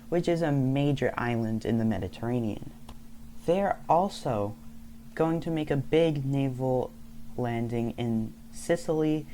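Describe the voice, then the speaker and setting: A man's voice: medium pitch, dark tone, slight background noise. A young man, not a native English speaker, casually narrating a map video in a rambling way, often stopping to correct himself. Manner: rambling, self-correcting, loose storytelling.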